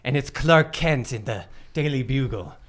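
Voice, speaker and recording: A man playing in a tabletop game, talking casually and excitably as he describes his character's actions. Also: medium pitch, moderate pace.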